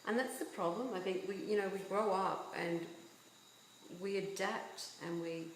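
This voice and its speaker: A woman's voice: medium pitch, steady tone, measured pace, roomy sound, a little hiss or hum, poor audio. A woman from Yugoslavia, a book author, talking earnestly as an interview guest and making her points with rhetorical questions. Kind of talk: casual interview chat.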